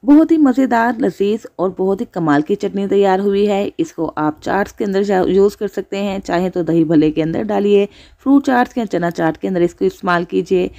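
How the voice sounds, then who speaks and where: A woman's voice: mid-range voice, vocal fry, dark tone. A woman hosting a cooking channel, narrating a recipe step by step as a voice-over.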